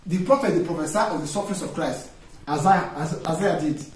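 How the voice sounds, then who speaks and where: A man's voice: fairly high pitch, a little fast. A man, a pastor preaching a sermon with emphatic call-and-response questions to his congregation. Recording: echoey room, distant mic, faint noise.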